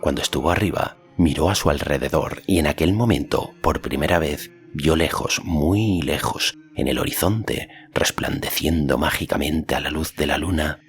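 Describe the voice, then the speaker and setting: A man reading literary fantasy narration as an audiobook narrator, in a measured, solemn storytelling manner. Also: rumbling low.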